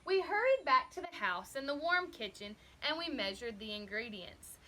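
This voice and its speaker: A woman reading a children's story aloud expressively, with deliberate, dramatic emphasis. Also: high voice, sing-song, pushed and bright, slight background noise. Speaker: storybook reader.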